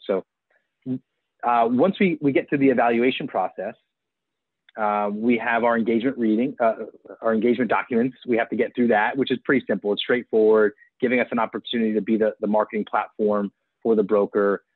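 A man in his forties pitches his business eagerly over a video call, stumbling through restarts as he goes. His voice sits low, and the audio is thin and phone-like. It sounds dry, with no room in it. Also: fairly even intonation, fairly quick, no echo. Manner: eager salesman, restarts and fillers. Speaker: auction platform executive.

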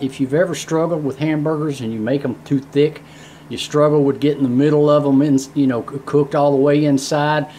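A man's voice: mid-range voice, darkish tone, a little hiss or hum. A middle-aged man, chatting folksily while demonstrating a recipe in his home kitchen. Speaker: home cooking show host. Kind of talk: casual cooking demonstration. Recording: sizzling frying pan behind.

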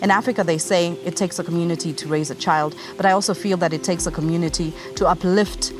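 A woman's voice: fairly low pitch, fairly even intonation, fast talker. A woman in her thirties from Kenya, co-founder of a company, chatting casually to camera outdoors in a garden, with easy laughs. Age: 30s. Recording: music playing behind.

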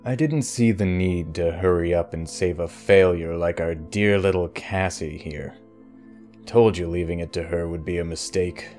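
A man as a deep, scornful villain. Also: moderate pace, a little mellow, soft background music, natural voice. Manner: condescending, sardonic. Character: scornful, arrogant villainous fae brother. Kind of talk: audio roleplay drama acting.

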